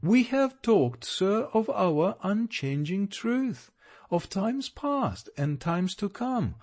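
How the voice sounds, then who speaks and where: A man voicing a gentle but firm young woman who speaks earnestly and pleadingly in an audiobook reading. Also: sits high, big pitch swings, takes it slowly, voice pushed up, heavily acted.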